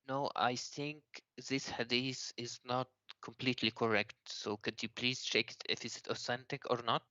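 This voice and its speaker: A man calling into a live debate, defending his view in a hesitant, halting way with many restarts. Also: medium pitch, steady tone, pushed and bright, no echo. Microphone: online call. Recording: dull lo-fi call audio.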